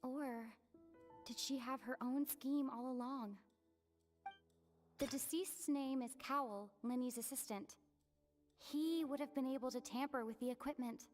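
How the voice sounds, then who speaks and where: An earnest young woman puzzles through a mystery in an anime game. Her voice is high and deliberate, as if reasoning to herself. Soft music plays underneath. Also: steady tone. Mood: pondering.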